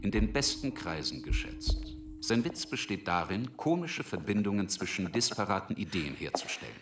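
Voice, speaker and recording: A man's voice: lowish voice, animated, normal speed, far from the mic. A man narrating a literary radio drama in a scripted, descriptive style. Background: music.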